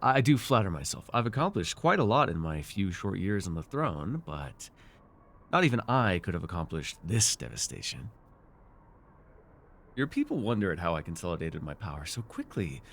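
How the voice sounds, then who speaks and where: A man acting a smug, seductive and menacing sorcerer ruler in a fantasy ASMR roleplay, with a theatrical, teasing, deliberate delivery. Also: low-pitched, sing-song, fairly bright tone, full character voice. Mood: bitter, wry. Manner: theatrical, teasing, deliberate, with murmured asides and sudden force.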